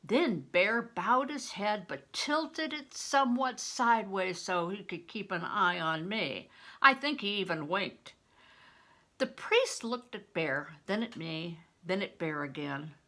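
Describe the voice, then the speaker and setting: An older woman narrates a story as a grandmother would read it aloud. Her pace is slow and deliberate, and her tone is bright and clearly projected, with expressive phrasing. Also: mid-range voice.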